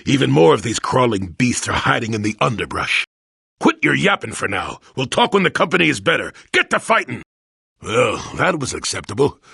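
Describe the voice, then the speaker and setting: A man playing a crotchety, wry old hermit Jedi in a video game, grumbling in a folksy, sardonic way with a gravelly, husky voice. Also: mid-range voice, pushed and bright, dry recording, clean studio sound, exaggerated voice. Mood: gruff, grumbling. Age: older. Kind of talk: video game character acting.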